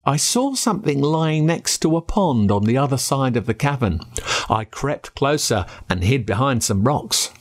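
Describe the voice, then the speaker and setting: An Australian man narrating a first-person spooky story with dramatic, expressive delivery. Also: medium pitch, normal speed. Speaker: audiobook narrator.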